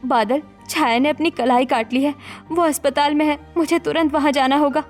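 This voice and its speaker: Woman acting as high-voiced young daughter-in-law, dramatic cartoon melodrama, emphatic and reproachful, music behind. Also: steady tone, normal speed, natural voice. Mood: shocked, panicked. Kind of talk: Hindi animated family melodrama voice acting.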